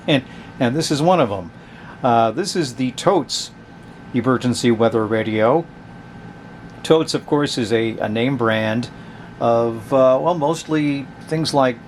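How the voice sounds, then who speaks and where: A man hosting a casual, unscripted product review, talking in a hesitant, halting, conversational way. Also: medium pitch, slight background noise. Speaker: host of a vintage radio review channel.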